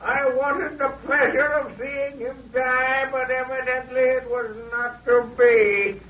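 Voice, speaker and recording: An actor playing a gloating mad villain in a 1930s radio drama, mocking, theatrical and menacing. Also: male voice, very high voice, little pitch movement, slow, full character voice. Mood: gloating, cruel. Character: gloating mad villain, male inventor and explosives genius. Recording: muffled, noisy 1930s radio recording.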